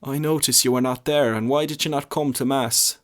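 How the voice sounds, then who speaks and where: Guy doing a tired stern priest for a story, slow to bend, solemn and preachy. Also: medium pitch, fairly even intonation, pitched above the performer's own voice, lightly acted. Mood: calm. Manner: measured, solemn, admonishing. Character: world-weary, stern male parish priest. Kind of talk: short story character reading.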